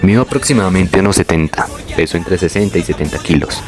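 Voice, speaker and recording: Young adult man, very low pitch, measured reflective scripted narration, faint background music. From born in Venezuela, moved to Colombia. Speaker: narrator of his own life story.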